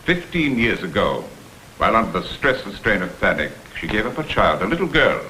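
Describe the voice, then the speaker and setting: An actor playing a smooth, insinuating blackmailer who poses as a humble go-between, with florid, theatrical phrasing, in a vintage crime drama. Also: male voice, fairly low pitch, sing-song, fairly quick, sharp tone, rough recording. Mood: oily, pleading. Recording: vintage TV soundtrack, faint noise.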